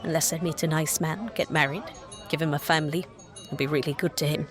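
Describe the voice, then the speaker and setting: A woman's voice: low voice, steady tone, quick pace, over music, close to an everyday voice. An actress playing a chatty, streetwise Victorian East End woman who is eager to please, speaking colloquially in an audio drama. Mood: lively, fond. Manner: colloquial, coaxing working-class speech.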